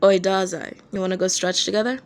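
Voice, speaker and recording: A woman's voice: medium pitch, flat delivery, fast talker, natural voice. Woman voicing a brusque, short-tempered male band singer. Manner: brusque, bickering. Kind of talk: fan fiction audiobook character voice.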